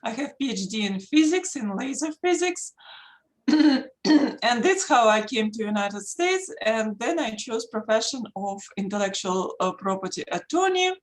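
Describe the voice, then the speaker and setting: A woman with a Russian accent, a native Russian speaker, giving an earnest presentation as a patent attorney over a video call, pausing often with fillers. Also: a little high, big pitch swings, poor audio. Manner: earnest, emphatic, pauses with many fillers. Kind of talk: online presentation.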